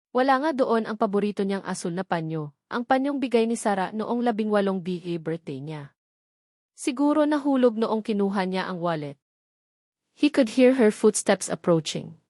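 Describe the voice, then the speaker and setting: A woman's voice: mid-range voice, lively intonation, moderate pace, a little mellow, no echo. Woman narrating a romance, dry studio sound. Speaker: audiobook narrator. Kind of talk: scripted romantic story narration.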